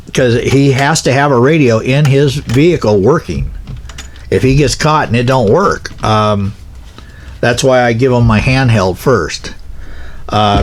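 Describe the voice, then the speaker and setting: A man's voice: mid-range voice, slight background noise. Casual, rambling man giving practical advice. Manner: rambling, folksy, halting with self-corrections. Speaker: pilot car operator giving equipment advice. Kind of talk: casual informal instruction.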